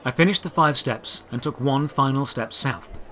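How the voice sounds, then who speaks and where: A man's voice: medium pitch, normal speed, a bit bright, slight background noise. A man narrating a scripted first-person adventure story, with outdoor ambience behind him. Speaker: narrator and creator of an audio drama.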